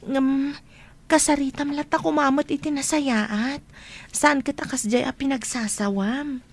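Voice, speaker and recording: Nosy young filipino college friend, concerned, really high voice, radio drama style. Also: female voice, fairly even intonation, a little slow. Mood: probing. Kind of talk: Ilocano radio drama acting.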